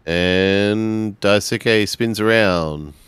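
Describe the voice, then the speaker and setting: A man with a very low voice narrates his part in a tabletop role-playing game. He speaks slowly and in measured, halting pieces with pauses between them. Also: fairly bright tone, smooth, no room sound.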